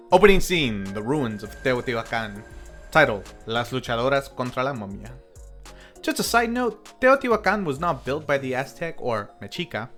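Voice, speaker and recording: A man's voice: on the high side, fairly bright tone. A man hosting a review video, reading scripted narration in a conversational way and addressing viewers directly. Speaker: host of a film review channel. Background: music under the voice.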